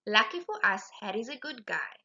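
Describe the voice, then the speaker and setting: A woman's voice: medium pitch, sing-song, moderate pace, pushed and bright, highs cut off, heavily acted. A woman voicing a relieved, chatty little fish in a children's storybook.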